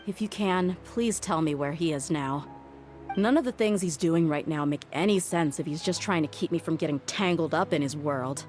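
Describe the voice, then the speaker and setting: A woman's voice: deep voice, normal speed, a little mellow, natural voice. An actress playing a tough young woman mercenary who talks bluntly with guarded frustration, over faint music. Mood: frustrated. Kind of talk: video game voice acting. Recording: game audio with faint music.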